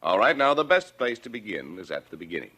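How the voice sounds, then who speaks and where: An actor playing a tough, businesslike homicide inspector with clipped official questioning in 1940s radio crime drama. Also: male voice, fairly low pitch, very animated, quick pace, bright, forceful tone. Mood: probing.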